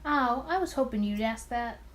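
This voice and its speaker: A woman's voice: on the high side, normal speed, slight background noise, natural voice. A woman voicing an arrogant, charming male mafia boss from the 1920s in a visual novel. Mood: slyly arrogant.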